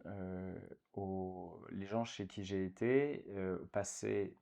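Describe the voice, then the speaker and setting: A man's voice: low-pitched, fairly even intonation, slow pace, gravelly, dark tone. A man in his thirties from France, a guest in a casual interview, talking with many fillers and restarts.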